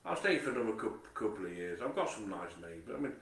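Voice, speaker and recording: A man's voice: lowish voice, sing-song, quick pace, a bit bright, roomy sound, distant mic. A man with a Nottingham accent, rambling in a monologue to camera, swearing constantly, repeating himself and stumbling over his words. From England. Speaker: vlogger.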